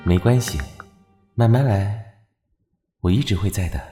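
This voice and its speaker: A man playing a gentle, attentive young hair stylist in an audio drama, with a Taiwanese Mandarin accent and a soft, caring manner. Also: on the low side, a little slow, natural voice. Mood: tender. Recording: music under the voice.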